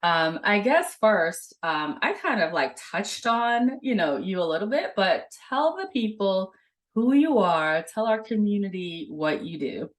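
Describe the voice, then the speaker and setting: A woman's voice: medium pitch, big pitch swings, a little slow. A woman hosting a podcast interview, enthusiastic and chatty, with many fillers. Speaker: podcast host.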